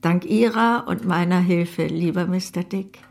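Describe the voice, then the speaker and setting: Radio drama role: strict, fond old aunt, creaky, formal, decisive. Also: female voice, fairly low pitch, unhurried, a little mellow. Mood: formal, reflective. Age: older.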